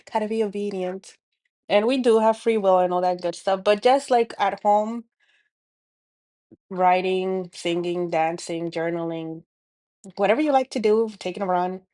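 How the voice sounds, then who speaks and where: A woman's voice: mid-range voice, measured pace, pauses often, dark tone. A woman with a Dominican accent, hosting a spiritual healing talk, speaking earnestly to camera with many fillers and restarts. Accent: Dominican Spanish. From Dominican Republic. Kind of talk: reflective monologue to camera.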